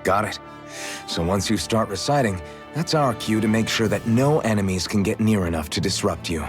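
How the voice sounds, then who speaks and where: An actor as a low-voiced, earnest young hero. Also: male voice, normal speed, soft background music, natural voice. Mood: curious, impressed. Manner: inquisitive, straightforward. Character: earnest, curious young male adventurer hero. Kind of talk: video game voice acting.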